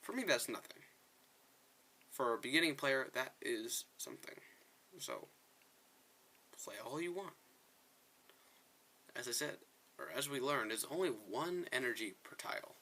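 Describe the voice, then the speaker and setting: A man's voice: mid-range voice, expressive, unhurried, pauses often, bright, forceful tone. A man giving casual gameplay commentary as a video host, chatting to viewers in a rambling, self-correcting way.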